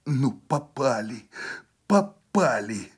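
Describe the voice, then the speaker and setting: A man's voice: mid-range voice, big pitch swings, very slow, husky, pitched above the performer's own voice, heavily acted. A man voicing a reluctant, uneasy partisan sent as executioner in a radio story, terse and muttering. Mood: alarmed.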